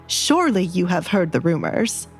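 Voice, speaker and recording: A woman voicing an indignant noblewoman mother in an audio romance drama, outwardly calm and controlled but disapproving. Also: fairly low pitch, normal speed, vocal fry, breathy edge, soft background music, full character voice. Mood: controlled indignation.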